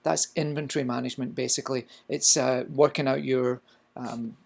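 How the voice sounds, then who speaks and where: Scottish male cooking instructor, gravelly voice, explanatory lecture delivery, plenty of fillers. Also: mid-range voice, fairly even intonation, moderate pace, a little mellow. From Scotland. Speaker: culinary instructor. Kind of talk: recorded lecture.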